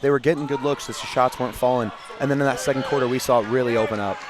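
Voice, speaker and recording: Fast-talking man giving game analysis. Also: mid-range voice, steady tone. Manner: conversational, strings thoughts together with fillers. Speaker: color commentator.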